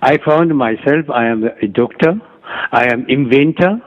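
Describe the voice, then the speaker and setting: A middle-aged Ethiopian-accented man speaks very slowly and haltingly, repeating himself as he feels for each phrase. His voice comes through a dull, lo-fi radio recording. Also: medium pitch. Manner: halting, repeats and restarts words. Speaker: guest author and doctor. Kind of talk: interview answers.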